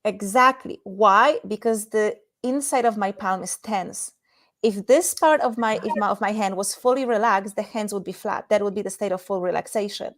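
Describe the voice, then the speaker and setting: A woman's voice: mid-range voice, moderate pace, fairly bright tone. A woman from Poland with a Polish accent, speaking as an expert guest in an interview and explaining things conversationally with examples. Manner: explanatory, illustrates points with examples. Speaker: guest intimacy and tantra expert.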